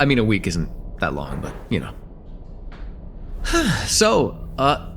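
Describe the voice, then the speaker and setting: A man's voice: on the high side, slight background noise, exaggerated voice. A man playing a friendly, teasing college-age guy in a casual, hesitant slice-of-life ASMR roleplay with a close friend. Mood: playful bickering.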